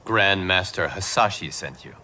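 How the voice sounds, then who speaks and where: Earnest warrior monk, very expressive. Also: male voice, deep voice, moderate pace, background noise. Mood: wary, resolute. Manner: earnest, formal. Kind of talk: dramatic video game acting.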